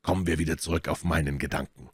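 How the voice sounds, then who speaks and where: A man voicing a calm, commanding and wry musketeer in a measured way for an audiobook. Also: rumbling low, husky, no room sound, heavily acted. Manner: measured, unhurried, authoritative. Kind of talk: audiobook character dialogue.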